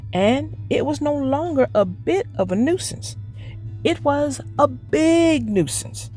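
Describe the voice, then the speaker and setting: A woman narrating a children's read-aloud as storyteller, expressive and deliberate. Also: high-pitched, creaky.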